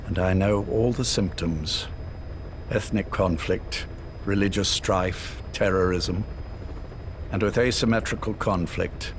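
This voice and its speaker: A man playing a cold, visionary older spymaster in a dramatic video game, speaking in a measured, formal, lecturing way. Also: fairly low pitch, background noise, natural voice. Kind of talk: scripted dramatic game dialogue.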